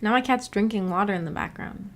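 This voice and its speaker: A woman's voice: mid-range voice, quick pace. A woman streamer chatting casually with viewers, reading out comments and rambling as she thinks aloud in a giggly way. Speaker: streamer, book club host. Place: indoor home streaming setup.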